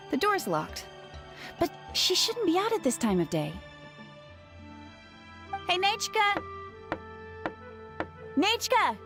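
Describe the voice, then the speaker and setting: A woman voicing an energetic young woman who guides others and calls out urgently. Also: very high voice, full character voice. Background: game music.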